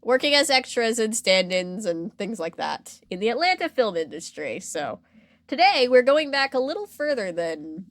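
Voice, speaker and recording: A woman's voice: fairly high pitch, sing-song, moderate pace, a bit bright, no room sound, clean studio sound. A woman hosting a podcast in a casual, playful, bantering comedic chat. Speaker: podcast host. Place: indoor room.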